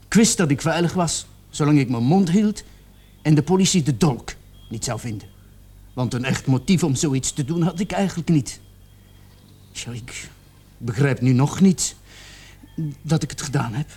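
An actor in a radio drama playing a cornered, remorseful young male murder suspect who confesses in a halting, defensive way. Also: fairly high pitch, animated, a little mellow, husky. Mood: defensive, explaining.

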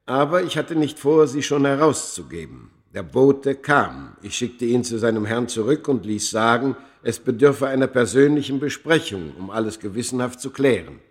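A man playing a proud ancient Egyptian who narrates his own story in a radio play, solemn, measured and dramatic. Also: medium pitch, expressive, natural voice. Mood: reflective. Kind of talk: literary first-person narration in a radio play.

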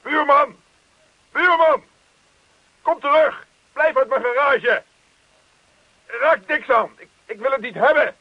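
An actor playing a querulous, stammering, put-upon husband in a radio comedy play. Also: male voice, extremely high pitch, slow pace, pauses often, no room sound, exaggerated voice. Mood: frantic shouting. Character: whining, put-upon husband fuming about his borrowing neighbour. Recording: muffled old radio play recording.

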